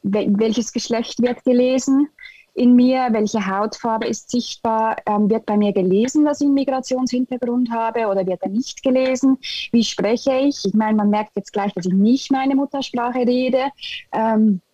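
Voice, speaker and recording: A woman's voice: fairly high pitch, moderate pace, warm tone, no room sound, lo-fi sound. A young woman from Switzerland with a Swiss German accent, giving thoughtful, hesitant interview answers with many fillers. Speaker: interview guest, integration specialist.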